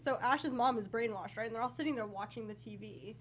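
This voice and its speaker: A woman's voice: a little high, fast, slight background noise. A woman speaking as a panelist in a casual discussion about films. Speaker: talk show panelist.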